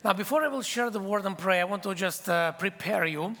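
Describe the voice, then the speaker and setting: A man's voice: high voice, brisk, pushed and bright. A man from Israel preaching a sermon in English, his third language, with an Israeli accent and emphatic, repetitive phrasing. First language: not English; English is his third language. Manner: emphatic, repetitive preaching with simple non-native grammar. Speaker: guest preacher. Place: church sanctuary. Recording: church hall with long reverb.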